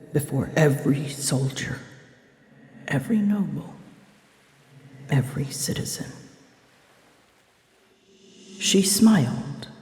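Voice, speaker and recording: A woman playing an ancient, proud, wounded female dragon in an ASMR roleplay, speaking in a breathy, often whispered voice with grave, halting pauses. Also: deep voice, darkish tone. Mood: bitter, guarded. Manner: slow, halting, grave storytelling with dramatic pauses. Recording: echoey, long reverb, faint noise.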